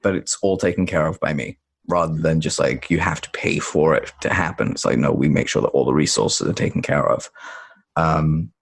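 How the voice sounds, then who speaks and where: A man from Tanzania, talking as a podcast guest in a relaxed, rambling way that often corrects itself. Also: rumbling low, moderate pace, creaky, slightly rough. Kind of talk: podcast interview conversation.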